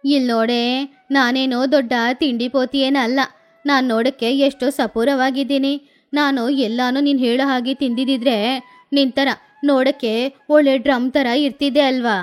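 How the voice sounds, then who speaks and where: A woman voicing a greedy, sharp-tongued old mother-in-law who scolds and bickers, over faint music. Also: high-pitched, steady tone, normal speed, pitched below the performer's own voice, lightly acted. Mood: scolding. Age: older. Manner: scolding, quarrelsome.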